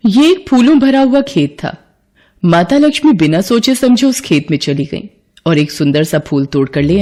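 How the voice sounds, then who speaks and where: A woman's voice: lowish voice, dark tone. A woman narrator telling a scripted devotional folk tale in a storytelling style.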